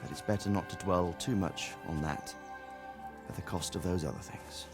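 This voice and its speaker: A man's voice: sits low, normal speed, over music. An actor playing a middle-aged noble father who talks in a wry, kindly, worldly way. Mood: fatherly. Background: orchestral score.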